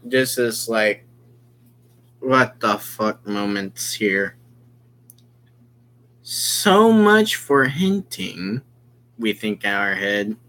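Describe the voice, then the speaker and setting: A game streamer giving live commentary on a visual novel, exclamatory and sweary, halting and often repeating phrases. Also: medium pitch, lively intonation, fairly bright tone. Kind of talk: live visual novel playthrough commentary, reading lines aloud.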